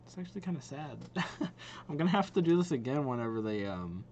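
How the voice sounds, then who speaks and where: A man's voice: mid-range voice, sing-song, brisk, darkish tone, lo-fi sound, a little hiss or hum. A young adult man chatting casually as a reaction video host, slangy and thinking aloud. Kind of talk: casual chat, reacting to game trailers.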